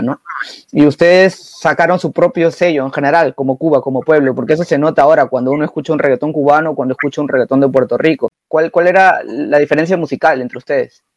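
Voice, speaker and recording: A man's voice: on the high side, no echo. Dry, dull-sounding male interviewer. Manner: brief affirmations, then long questions. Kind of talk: interview questions.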